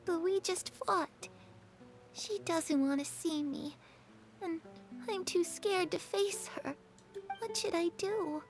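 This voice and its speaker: An actress voicing a lonely, wistful young girl in a game cutscene, confiding softly and dreamily. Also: extremely high pitch, slow, long pauses, faint music behind, heavily acted. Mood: dreamy.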